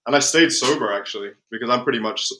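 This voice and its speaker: A man's voice: mid-range voice, quick pace, bright, forceful tone, a little hiss or hum. A young man with a mixed, hard-to-place accent, a guest musician chatting in an easygoing way, full of "like" fillers. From born in Glasgow, raised in Spain and Tanzania. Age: young adult. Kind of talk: casual chat.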